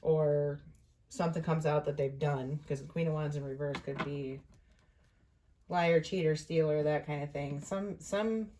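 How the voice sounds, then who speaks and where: Low-voiced woman reading tarot casually. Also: steady tone, mellow, slight background noise. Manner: conversational, thinking aloud with frequent pauses. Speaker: tarot reader hosting an online reading.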